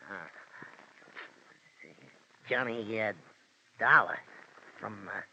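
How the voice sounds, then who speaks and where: A man's voice: lowish voice, steady tone, drawn-out words, gravelly, pushed and bright, dull audio, slight background noise, full character voice. An actor playing a crude, trigger-happy gangster henchman in an old-time radio drama, with slangy, taunting, impatient tough talk. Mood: threatening.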